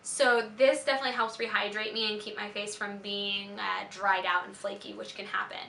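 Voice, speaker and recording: A young adult American woman with a slightly raspy voice, chatting casually and gushing emphatically as a lifestyle vlogger about her favorite things. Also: mid-range voice, level tone, a little fast, sharp tone. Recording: roomy echo, faint background noise.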